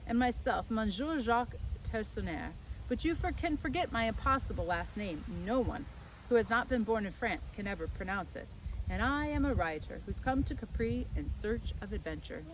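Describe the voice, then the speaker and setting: A woman voicing a genial, courteous and talkative French gentleman adventurer in a storybook, with a breezy manner. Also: on the high side, lively intonation, normal speed, a little hiss or hum, close to an everyday voice, rough recording.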